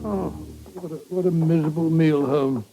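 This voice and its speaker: A man's voice: a little high, fairly even intonation, moderate pace, dull audio, faint music behind. A man playing a kindly, flustered middle-aged English doctor in a 1940s radio drama, bumbling and repeating himself in an avuncular way. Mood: gloomy.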